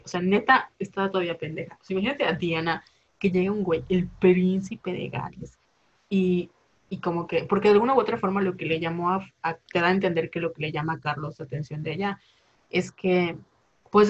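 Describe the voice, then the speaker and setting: A woman's voice: on the low side, highs cut off. A woman in her twenties with a Mexican accent, chatting casually and rambling, full of slang and fillers. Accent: Mexican Spanish. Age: 20s. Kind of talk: casual chat.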